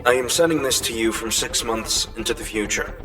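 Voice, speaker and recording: A man playing an earnest inventor and leader in a comic dub, delivering a grave, measured, pleading message. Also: medium pitch, natural voice, poor audio. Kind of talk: dramatic comic dub acting. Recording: voice over background music. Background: music.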